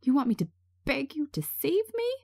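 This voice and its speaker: An anguished, bitter woman, acted by a female reader in an audio drama. Her voice rises high and swings about as she pleads and accuses, distraught and wounded. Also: huge pitch swings, moderate pace, gravelly, warm tone, exaggerated voice. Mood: anguished, accusing. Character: traumatised woman, distraught, bitter, drinking alone. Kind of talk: dramatic screenplay reading.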